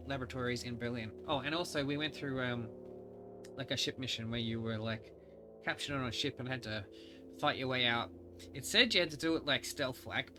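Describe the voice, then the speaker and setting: Man with a cold, rambling over game music. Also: medium pitch. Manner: rambling, many fillers and restarts. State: has a cold, slightly nasal. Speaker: gaming host. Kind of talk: casual gameplay commentary.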